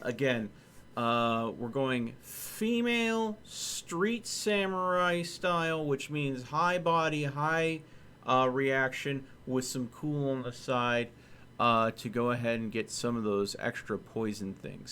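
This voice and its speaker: A man's voice: mid-range voice, slow, sharp tone, a little hiss or hum. A man talking casually as a livestream host, rambling and thinking aloud as he goes.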